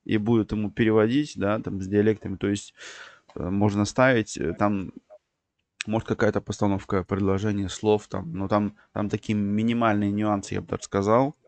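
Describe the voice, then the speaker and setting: Male stream host, lowish voice, dry close sound, rambling explainer with lots of fillers. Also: level tone, moderate pace, vocal fry, a little mellow, dry recording. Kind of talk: livestream chat.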